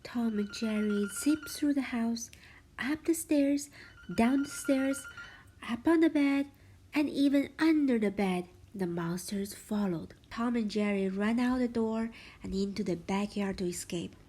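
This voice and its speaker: Female bedtime-story reader, mellow and slightly breathy, expressive with hushed suspense. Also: on the high side, measured pace. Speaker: parent reading bedtime stories to children. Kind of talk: storybook read-aloud for children.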